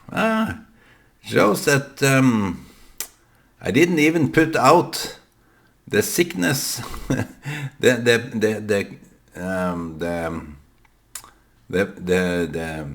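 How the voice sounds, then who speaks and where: A man with a Norwegian accent, a native Norwegian speaker, talking to the camera in a reflective monologue, halting and full of fillers and restarts. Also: mid-range voice, gravelly, a bit bright. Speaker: vlogger.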